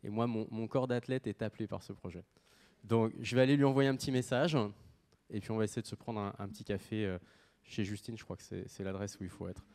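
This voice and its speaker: A man's voice: on the low side, fast, a little mellow. A man in his thirties giving a live product demo on stage, joking casually and with lots of fillers. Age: 30s. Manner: jokey, casual, full of fillers. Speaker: startup pitch presenter.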